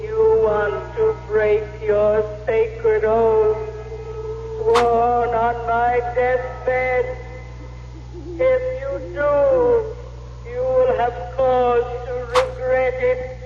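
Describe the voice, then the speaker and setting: A stern female ghost intones ominous reproaches with agonizing slowness. Her high voice hangs in a long, cavernous reverb. It is a thin, muffled old radio horror recording. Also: animated, music in the background. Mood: ominous warning. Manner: slow, solemn, drawn-out pronouncements.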